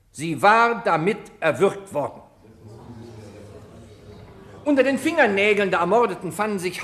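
A relentless prosecutor from a radio crime play, acted by a man. His voice sits high and swings widely as he probes and mocks the defendant. He sounds sharp and sarcastic. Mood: accusing. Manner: probing, sarcastic cross-examination. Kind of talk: German radio crime drama.

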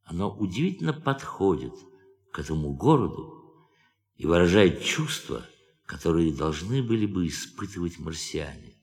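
An actor in a radio drama playing a brooding, bitter astronaut with a poetic soul, speaking in a measured, declamatory way. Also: male voice, deep voice, sing-song. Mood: poetic, solemn. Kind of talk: theatrical radio drama acting. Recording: studio radio play with music behind.

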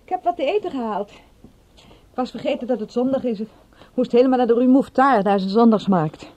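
A woman playing an enigmatic, guarded and vulnerable female companion in a radio drama, in a gentle, understated manner. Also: fairly high pitch, lively intonation, a little fast. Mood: lighter, casual. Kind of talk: radio drama dialogue acting.

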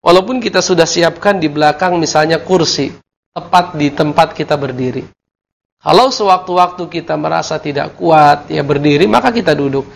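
A man with a gravelly edge and a slightly raised pitch teaches Islamic law on a televised call-in program. He explains in a measured way, weaving in Arabic terms and correcting himself as he goes. His delivery is steady and instructive. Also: a little hiss or hum. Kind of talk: televised religious lesson with call-in questions.

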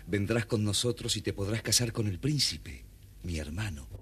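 An actor playing a gallant, grateful fairy-tale prince, solemn and formal, in a children's audio storybook. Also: male voice, lowish voice, lively intonation, moderate pace, close to an everyday voice. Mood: solemn gratitude. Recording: very noisy old record with clicks.

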